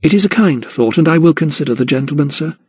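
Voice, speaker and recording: A man voicing a young widowed gentlewoman, polite and restrained. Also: a little high, animated, fast, slightly rough, dry recording, voice pushed up.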